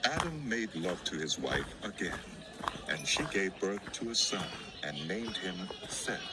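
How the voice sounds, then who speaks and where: Deep, expressive male Bible narrator. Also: measured pace, very noisy. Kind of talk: dramatized scripted Bible narration. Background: prominent music.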